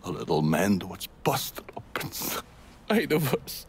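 A weary middle-aged gangster in a game cutscene, low-voiced and very slow. He broods and halts over his words, bitter and wry. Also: very animated, a little hiss or hum, exaggerated voice. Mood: grieving, weary. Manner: slow, brooding, halting, swearing. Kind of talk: video game cutscene acting.

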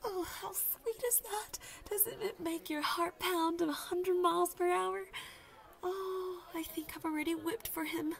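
A woman's voice: very high-pitched, steady tone, unhurried, gravelly, full character voice. A young woman voice acting a lovestruck, chatty student gushing dramatically to friends in an audio roleplay. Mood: excited, giddy. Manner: gushing, dramatic, babbly, sighing. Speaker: voice actor. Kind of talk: audio roleplay, one-sided conversation with friends.

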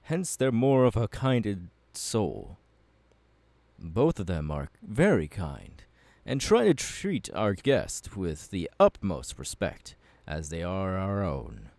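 A man's voice: sits low, huge pitch swings, takes it slowly, vocal fry, darkish tone, no echo, full character voice. A man playing a passionate, courteous young warrior mentor in an ASMR audio roleplay, speaking in an earnest, formal and encouraging way. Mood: earnest, proud.

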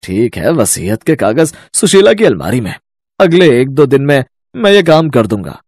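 Guy doing a middle-aged sasur in a cartoon, earnest n anxious, husky, lively pitch. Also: moderate pace, no echo, studio quality. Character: infatuated, anxious middle-aged father-in-law, later remorseful.

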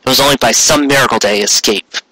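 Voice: medium pitch, quick pace, sharp tone, no room sound, poor audio. A reader giving a dramatic narration of a scripted story. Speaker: fan fiction reader.

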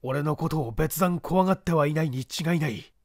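A man voicing a composed, suave gentleman thief with formal, earnest manner in a storybook. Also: a little high, normal speed, no echo, studio quality. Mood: calm.

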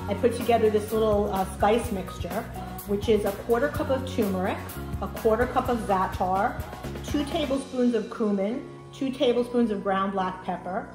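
A woman's voice: mid-range voice, normal speed, poor audio. Woman demonstrating over music. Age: middle-aged. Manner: explanatory, step-by-step. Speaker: cooking show host. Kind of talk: casual recipe demonstration. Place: home kitchen, indoors. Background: music, frying and sizzling sounds.

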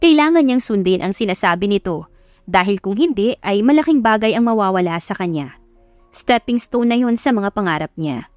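A woman reads a dramatic love story as a scripted audiobook. Her pitch is average for a woman. The recording is dry, with faint music behind her. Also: expressive, normal speed, dry recording. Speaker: audiobook narrator.

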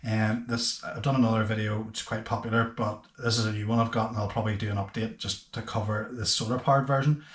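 A man's voice: fairly low pitch, level tone, fast talker, few pauses. Echoey man explaining casually. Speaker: boating video host. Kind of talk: casual explainer vlog to camera. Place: small indoor workshop room with bare walls. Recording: echoey bare room.

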